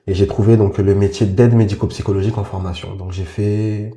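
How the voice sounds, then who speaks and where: Low-voiced man in his forties, unhurried. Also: fairly even intonation, warm tone. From Congo. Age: 40s. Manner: hesitant, many fillers and restarts, informal. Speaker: podcast guest. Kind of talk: casual interview talk. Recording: roomy echo with faint music.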